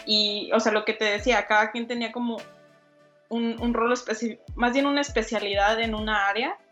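A woman's voice: on the high side, steady tone, brisk, fairly bright tone, dull audio, faint music behind. A young Mexican woman, a designer, answering interview questions casually with many fillers and restarts. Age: young adult. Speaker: designer, guest.

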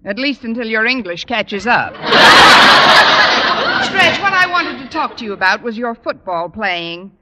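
An actress playing a witty, wisecracking high school teacher with a dry delivery, in a 1940s radio sitcom. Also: female voice, sing-song, a little slow, highs cut off, a loud crowd. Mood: wry. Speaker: lead and narrator. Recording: noisy old radio broadcast.

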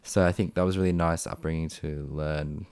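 Low-voiced young Australian man, rambling chat. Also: steady tone, moderate pace, darkish tone, smooth, no echo. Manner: rambling, many fillers and restarts. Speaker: podcast guest. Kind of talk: casual chat.

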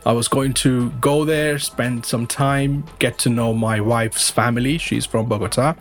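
A man's voice: medium pitch, fairly even intonation, measured pace. A man from western India, an English teacher, talking casually as an interview guest and often rephrasing himself. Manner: rambling, repeats and rephrases himself.

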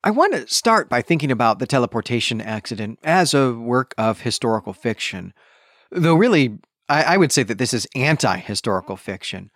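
A man's voice: mid-range voice, very animated, brisk, fairly bright tone, no echo, clean studio sound. A man hosting a podcast, explaining a book in a conversational way and checking in with the listener as he goes. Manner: conversational explaining, tags points with "right?". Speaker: podcast host. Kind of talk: book discussion podcast, explanatory plot summary.

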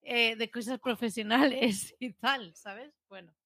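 A woman's voice: a little high, measured pace, no echo, clean studio sound. Chatty young woman telling a story. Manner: animated storytelling with many restarts. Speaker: podcast co-host. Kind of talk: casual storytelling chat.

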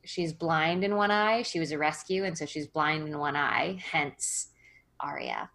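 Thirtyish woman guest, bubbly and self-mocking, lowish pitch. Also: creaky, a bit bright. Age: 30s. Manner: bubbly, self-deprecating, quick asides. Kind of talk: casual interview conversation.

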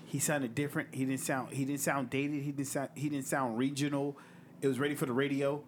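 A man's voice: medium pitch, flat delivery, fairly quick, a little hiss or hum. A man with a light voice hosting a music review show, chatting loosely, full of slang and swearing. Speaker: podcast host reviewing music. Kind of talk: casual chat.

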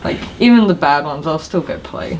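A woman's voice: deep voice, animated, normal speed, a little mellow, low-quality recording. A woman chatting casually as a podcast co-host, informal, slangy and emphatic. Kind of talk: casual chat. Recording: clear background noise.

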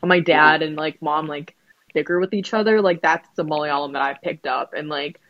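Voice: medium pitch, normal speed, dry recording, muffled recording. Chatty young speaker, dry close sound. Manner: chatty, filler-heavy, animated storytelling. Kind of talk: casual podcast chat.

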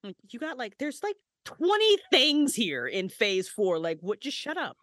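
A woman's voice: on the high side, wildly swooping pitch, normal speed, a bit bright, dry recording, clean studio sound. Playful woman guesting on a podcast. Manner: playful, laughs a lot, swears casually. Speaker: podcast guest, writer and voice actress. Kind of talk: casual podcast chat.

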